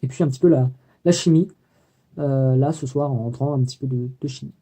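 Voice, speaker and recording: A man's voice: mid-range voice, fairly quick, dark tone. A young man recording a daily video diary about his student life, talking hesitantly with many fillers and self-corrections. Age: young adult. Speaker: student vlogger.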